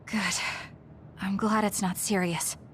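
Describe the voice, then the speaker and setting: Actress as a composed, determined young heroine. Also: female voice, medium pitch, fairly even intonation, slow pace, a little hiss or hum, close to an everyday voice. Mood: serious, composed. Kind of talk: English game dub acting.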